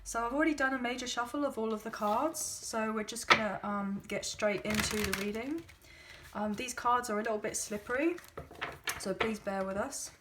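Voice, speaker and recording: A woman with a slightly croaky, hoarse voice, giving a casual tarot reading to her viewers in a chatty, gently encouraging way with frequent ums. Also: fairly high pitch, normal speed, very noisy. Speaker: tarot card reader.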